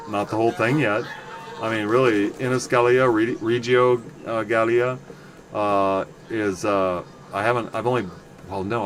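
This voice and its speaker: A man with a fairly low, loud voice chats his way through a game stream, wandering off track and correcting himself mid-thought. A steady noise hum sits clearly behind him. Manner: rambling, self-correcting, chatty asides to viewers. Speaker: livestream game player. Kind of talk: casual gameplay commentary.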